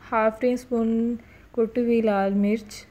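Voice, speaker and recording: A woman calmly narrating a home cooking recipe step by step as host of her cooking channel. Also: fairly high pitch, takes it slowly.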